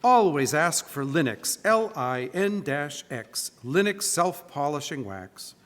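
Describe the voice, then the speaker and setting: Vintage radio announcer male actor: emphatic, upbeat salesman delivery, very lively intonation, hall reverb. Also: on the high side, slow pace, exaggerated voice. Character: polished, enthusiastic male radio sponsor announcer. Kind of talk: old-time radio commercial announcing. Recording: live stage in reverberant church hall.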